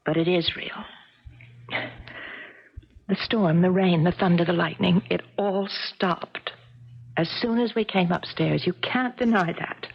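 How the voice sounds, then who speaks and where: An actress playing an earnest, dreamy middle-aged American housewife in a 1970s radio drama, speaking hesitantly in hushed phrases with pauses. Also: female voice, fairly low pitch, gravelly, breathy edge, heavily acted. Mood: hushed awe. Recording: muffled old 1970s radio recording.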